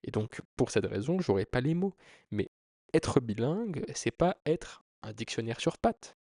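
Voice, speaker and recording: A man's voice: medium pitch, gravelly, warm tone, no room sound, clean studio sound. A man speaking as a video creator, explaining informally to viewers in an earnest, persuasive way with emphatic phrasing. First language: French. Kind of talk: informal explanatory talk to camera.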